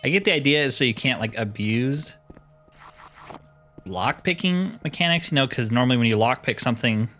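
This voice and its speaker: Guy chatting thru his game playthrough, kinda exasperated, normal pitch, some music in the back. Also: expressive, normal speed, a bit bright. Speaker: gaming video host. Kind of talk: casual game playthrough commentary.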